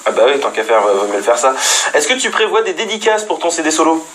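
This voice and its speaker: A man's voice: fairly high pitch, sing-song, fast, a bit bright, slight background noise. A man hosting a casual live stream, reading out viewers' questions in an informal, teasing way. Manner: informal, teasing, filler-heavy banter. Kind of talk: casual, joking interview chat.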